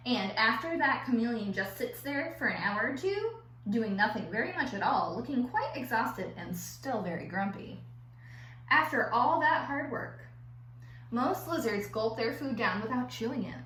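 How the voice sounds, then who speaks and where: A woman with a bright, forceful voice reads aloud to children like a playful teacher. Her pitch sits fairly high and swings widely as she stretches words for emphasis and drops in chatty asides. The room sounds very echoey. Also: lively intonation, moderate pace. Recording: roomy echo with faint background noise.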